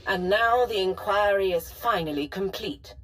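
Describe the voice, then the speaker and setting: A woman playing a formal, measured artificial personality stored in an ancient archive, precise and helpful, in a video game. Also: medium pitch, natural voice, low-quality recording. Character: calm, formal female personality matrix of a Sith holocron. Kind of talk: scripted video game voice acting.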